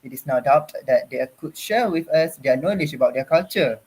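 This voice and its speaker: A man's voice: on the high side, lively intonation, moderate pace, darkish tone, slight background noise, low-quality recording. A young man from Malaysia moderating an online forum, speaking in a formal, ceremonious way over a video call.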